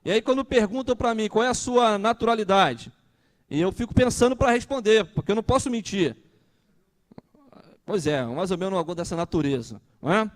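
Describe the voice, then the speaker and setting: High-voiced Brazilian pastor in his thirties. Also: animated, a little fast. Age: 30s. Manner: conversational, asks the congregation questions. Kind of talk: sermon.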